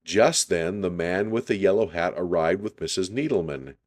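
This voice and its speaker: A man reading a children's story aloud in an unhurried, deliberate storytelling style. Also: sits low, lively intonation.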